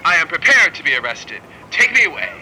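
A man's voice: fairly high pitch, sing-song, a little fast, noisy background. A man playing an earnest, hapless young man in an audio drama, swinging between formal declaiming and flustered stammering. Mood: grandly declaiming. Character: earnest hapless husky young man staging absurd protests. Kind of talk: scripted audio drama acting.

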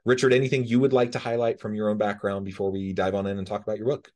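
A man hosting a podcast, interviewing a guest in a relaxed, friendly way. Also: fairly low pitch, fast. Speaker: podcast host.

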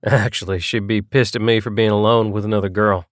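A man's voice: lowish voice, level tone, a little fast, dry recording, natural voice. A tense young small-town man in an audiobook story as a male narrator plays him. He is guarded and unpolished, stumbling a little over his words. The recording is close and dry. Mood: wry.

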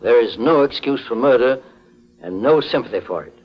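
An actor playing a calm, courteous but stern private investigator, formal and authoritative, in a 1940s radio detective drama. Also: male voice, mid-range voice, moderate pace, lightly acted. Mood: accusing, stern. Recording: muffled 1940s radio recording.

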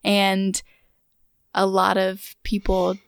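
A woman's voice: mid-range voice, monotone, extremely slow, vocal fry, smooth, no room sound, studio quality. A woman speaking candidly in a casual group conversation, chatty with frequent "like".

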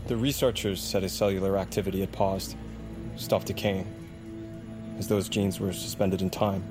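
A guarded, defeated male subordinate played by a man in an audio drama. His voice is low and very flat, over a music bed. He answers tersely, as if reporting to a superior. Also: moderate pace, lightly acted. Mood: guarded, uneasy.

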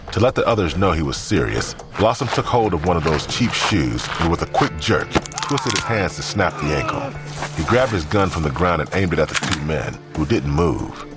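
Very deep-voiced male narrator. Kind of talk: scripted audio drama narration.

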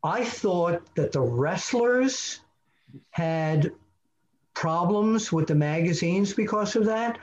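A man with a slightly high voice tells stories on a podcast and laughs often. His audio sounds slightly dull and muffled. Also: animated, slow pace. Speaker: co-host, former magazine editor. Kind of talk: casual podcast chat.

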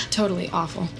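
An actress playing an awkward, eager-to-please office analyst in a comedic audio drama, hesitant and nervously over-polite. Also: female voice, mid-range voice, noisy background. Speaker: actor in a scripted audio drama.

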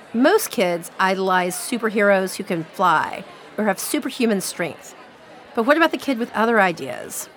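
A woman's voice: mid-range voice, a bit bright. A woman with an American accent hosting a radio program in scripted narration. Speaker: radio show host.

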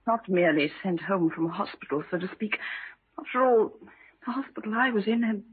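An actress playing a fragile, melancholy wife in an old radio drama, hesitant and wistful. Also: female voice, mid-range voice, expressive, brisk, close to an everyday voice. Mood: bitter, wistful. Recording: tinny, hissy vintage radio recording.

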